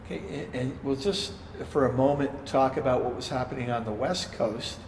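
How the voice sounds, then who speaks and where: A man's voice: mid-range voice, normal speed. A man hosting an interview in a casual way, stumbling a little as he starts his sentences. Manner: casual, stumbling on opening words. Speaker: interviewer, host. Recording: echoey room, long reverb, faint noise.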